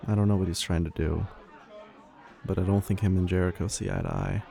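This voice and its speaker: A man playing a casual, slightly defensive younger helper in an audio drama, speaking a little hesitantly in a low, creaky voice. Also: steady tone, a little fast, a crowd in the background. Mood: casual, uneasy. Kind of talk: audio roleplay acting.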